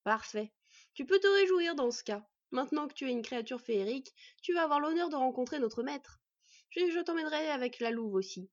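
A woman's voice: high-pitched, lively intonation, a little fast, no room sound, pitched above the performer's own voice. A woman voicing a brutish male bandit chief in a storybook, mocking and threatening. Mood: amused, gloating. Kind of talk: storybook villain voice. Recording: dull, slightly distant microphone.

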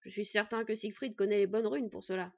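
A woman's voice: medium pitch, fairly even intonation, brisk, gravelly, lo-fi sound. A woman voicing a jolly, boisterous male smith who talks in a hearty, teasing way.

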